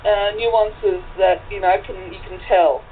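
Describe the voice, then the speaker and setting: An Australian woman being interviewed, explaining slowly with many fillers and repeats. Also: mid-range voice, fairly even intonation. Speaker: guest. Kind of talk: casual interview chat. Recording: tinny phone-like line, faint noise.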